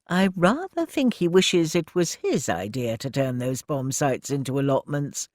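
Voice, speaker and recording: A prim, officious lady with a brisk, patronising politeness, put on by a male reader in a higher, lifted register. The delivery is dry and close. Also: big pitch swings, a little mellow, dry recording. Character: genteel refined middle-aged lady, brisk and patronising. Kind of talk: audiobook character voice.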